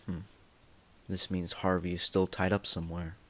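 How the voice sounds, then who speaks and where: A man's voice: low-pitched, little pitch movement, moderate pace. A man playing a grim masked vigilante and a smug crooked lawyer in an amateur superhero radio play. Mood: grim, businesslike. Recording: music behind the voice.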